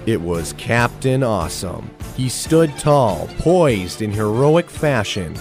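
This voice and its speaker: A man's voice: mid-range voice, very animated, slow, soft background music. A man narrating a scripted adventure story in a theatrical storytelling manner.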